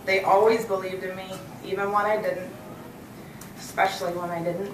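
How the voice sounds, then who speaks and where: A woman's voice: medium pitch, little pitch movement, moderate pace. An American woman giving a prepared thank-you speech as a student speaker at a graduation. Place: hotel hall, at a podium on stage. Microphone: podium mic, captured by far audience camera. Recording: echoey, distant, noisy hall recording.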